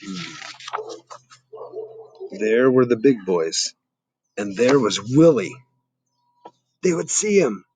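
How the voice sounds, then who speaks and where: A man's voice: medium pitch, expressive, takes it slowly, pauses often, a little mellow, a little hiss or hum. A middle-aged man reading a children's story aloud expressively, with dramatic questioning asides to young listeners. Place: outdoors in a garden.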